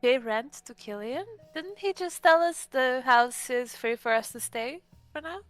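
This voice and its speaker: A woman's voice: sits high, animated, unhurried, dry recording, soft background music. A woman playing a polite, mildly coy vampire newcomer in an improvised tabletop roleplay. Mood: questioning.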